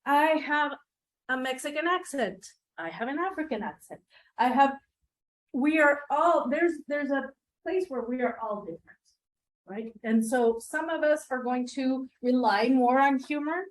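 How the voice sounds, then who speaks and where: High-voiced woman leading a class workshop. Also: lively intonation, unhurried, rough recording. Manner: engaging, asks the group questions and repeats their answers. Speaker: workshop presenter. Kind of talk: interactive teaching workshop.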